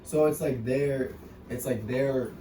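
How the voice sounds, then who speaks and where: A young man, interviewing a fellow wrestler in a relaxed, talkative way with many fillers. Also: medium pitch, slow pace. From New York. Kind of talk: casual interview. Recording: far-off echoey room with noise.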